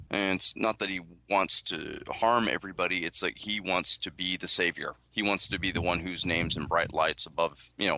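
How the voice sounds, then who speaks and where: A man's voice: low-pitched, bright, forceful tone, low-quality recording. A man on a discussion panel, talking through his analysis conversationally. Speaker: podcast panelist.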